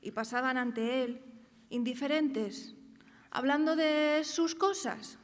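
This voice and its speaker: Actress as high-voiced proper young lady, theatrical, echoey hall. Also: slow. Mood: confiding, rueful. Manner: declamatory, rhetorical. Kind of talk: theatrical radio drama monologue. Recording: echoey hall, long reverb.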